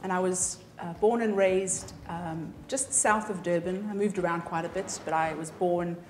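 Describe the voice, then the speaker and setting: A woman's voice: on the low side, fairly even intonation, normal speed, a little mellow. A woman in her thirties from South Africa with a South African accent, chatting unhurriedly as an interview guest. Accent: South African English. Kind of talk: casual interview chat. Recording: echoey room, faint ambient noise.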